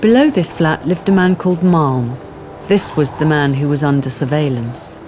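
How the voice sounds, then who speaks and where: A woman's voice: sits low, normal speed, noisy background. A woman reading scripted narration for a radio drama. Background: music.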